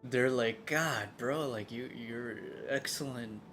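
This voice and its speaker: A man's voice: medium pitch, slow pace, a bit bright. A man giving casual, slangy, joking live commentary as he streams a game, often muttering asides. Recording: game music behind the voice. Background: video game music.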